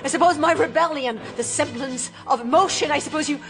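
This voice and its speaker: A woman's voice: sits high, brisk. An actress voicing a young robot woman who learns she was built as a daughter, in a radio drama with music beneath. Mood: bitter. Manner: desperate and defiant, later flat and servile. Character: young female robot daughter, anguished then docile. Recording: with music underneath.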